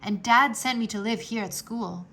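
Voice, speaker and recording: Storybook boy wizard, played by a woman: earnest, wistful but determined, fairly high voice, hurried delivery. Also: pushed and bright. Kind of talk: children's storybook character voice.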